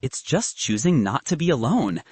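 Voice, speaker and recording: A man's voice: medium pitch, big pitch swings, moderate pace, darkish tone, husky, dry recording. A man voicing a young woman physicist in an audiobook, confident and frank, with a dry, advice-giving manner. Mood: frank, serious.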